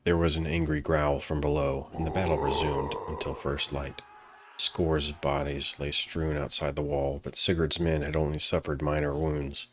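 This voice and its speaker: A man narrating a dramatic fantasy story from a script in a solemn, measured way. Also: very deep voice, level tone, slight background noise.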